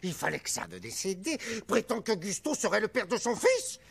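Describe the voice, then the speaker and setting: Wildly theatrical, scheming head chef. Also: male voice, sits high, very animated, brisk, gravelly, breathy edge, exaggerated voice. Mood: suspicious, agitated. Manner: sardonic, theatrical, volatile. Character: scheming, short-tempered, pompous middle-aged male head chef. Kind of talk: animated film dub acting.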